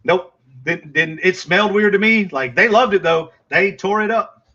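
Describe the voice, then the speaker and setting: A man's voice: sits high, normal speed, pushed and bright, muffled recording. A middle-aged man with a Texas accent, chatting casually with viewers on a livestream, rambling with lots of fillers and restarts.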